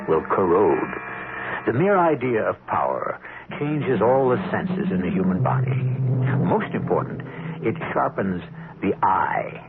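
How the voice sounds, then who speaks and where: Male narrator, low voice, expressive swings, ominous theatrical hosting, tinny old radio recording. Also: creaky. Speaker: radio drama host and narrator. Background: music.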